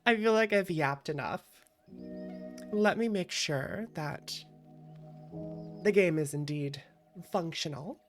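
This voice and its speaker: A woman livestreamer chatting casually, giggly and expressive, with playful exasperation. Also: lowish voice, slow pace, long pauses, over music. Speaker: livestreamer, VTuber host.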